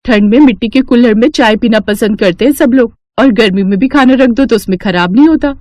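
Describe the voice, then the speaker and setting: A female narrator plays a young woman's friend in a storybook drama. She is chatty and friendly, in a lifted, higher voice. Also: fairly quick, a little mellow, dry recording, studio quality, lightly acted. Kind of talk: dramatized Hindi moral story.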